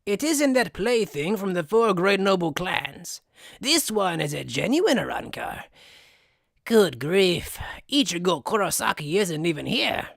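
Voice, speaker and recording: A man's voice: high-pitched, very animated, a little slow, vocal fry, fairly bright tone, no room sound, studio quality, heavily acted. A man voicing a haughty, irritable genius with a disdainful, theatrical drawl in an anime fan dub. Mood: disdainful, exasperated.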